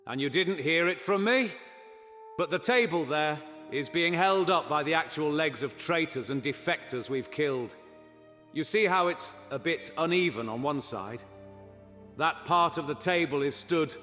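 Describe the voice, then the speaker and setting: A man's voice: fairly high pitch, measured pace, fairly bright tone. A man playing a roguish, irreverent monster hunter knight in a fantasy audio roleplay, talking in a theatrical, conspiratorial way. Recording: long hall reverb under music.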